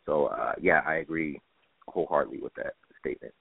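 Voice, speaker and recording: A man's voice: extremely low pitch, a little fast, vocal fry, husky. A man being interviewed as a stage director, speaking conversationally and earnestly, repeating phrases for emphasis. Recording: tinny band-limited phone line, roomy echo.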